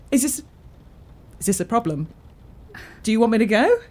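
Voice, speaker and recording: A bossy, lively British young woman. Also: fairly high pitch, breathy edge, exaggerated voice. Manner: exclamatory, teasing, dramatic. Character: bossy, teasing, playful young woman, Kira. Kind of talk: naturalistic audio drama acting. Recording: music under the voice.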